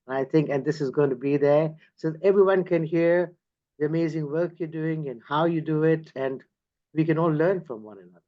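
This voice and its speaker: A man's voice: fairly high pitch, fairly quick, muffled recording. A man hosting an online interview over a video call, enthusiastic and effusive. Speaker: host.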